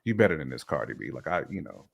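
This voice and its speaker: A man's voice: very deep voice, very animated, fast, creaky, husky. A man giving his opinions in casual, slangy conversation as a guest on a talk show. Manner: colloquial, slangy phrasing.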